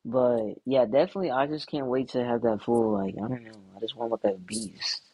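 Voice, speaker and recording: A man's voice: mid-range voice, a little fast, dark tone, slightly rough, low-quality recording. A young man in a casual podcast chat, laid-back and frank, leaning on lots of fillers. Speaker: podcast guest.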